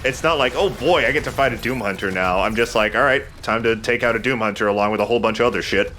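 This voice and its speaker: A man's voice: mid-range voice, fast, pushed and bright, slight background noise. A man hosting a let's play, chatting casually and agreeably about the game as he goes. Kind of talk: casual gameplay commentary.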